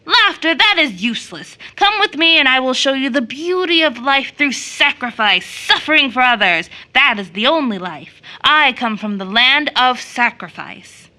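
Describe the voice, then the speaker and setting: A woman's voice: high voice, sing-song, normal speed, hardly any pauses, bright, forceful tone, heavily acted. A woman storyteller voicing an unhappy, ragged old man who preaches sacrifice with fervent intensity.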